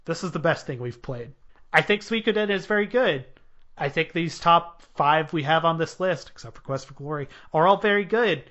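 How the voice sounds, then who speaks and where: A man's voice: on the high side, expressive, normal speed, a bit bright. A man hosting a casual podcast chat, rambling and joking with many hesitations. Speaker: podcast host. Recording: dull lo-fi podcast audio.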